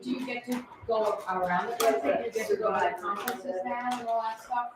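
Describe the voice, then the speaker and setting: A woman's voice: fairly high pitch, steady tone, fairly quick. A woman chatting casually and telling an everyday story. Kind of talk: casual chat. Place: camp building indoors. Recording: echoey room, distant from the mic, noisy.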